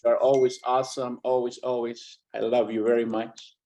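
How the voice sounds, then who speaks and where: A man on a video call who gushes his thanks warmly before asking his question. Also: medium pitch, level tone, moderate pace, a little hiss or hum. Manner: warm, gushing with thanks. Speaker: caller.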